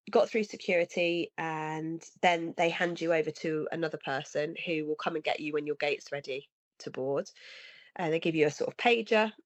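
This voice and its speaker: A woman in her thirties with a cold, sounding slightly nasal and husky, chatting earnestly as a podcast co-host. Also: low-pitched, no echo. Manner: earnest, rambling with restarts. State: has a cold, sniffly. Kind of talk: casual conversation. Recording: dry, slightly dull lo-fi sound.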